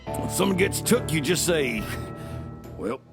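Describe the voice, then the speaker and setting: An actor playing a folksy, reckless backwater doctor in a sci-fi audio drama, with a gravelly, colloquial drawl. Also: male voice, a little high, lively intonation, measured pace, over music. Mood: reckless, amused.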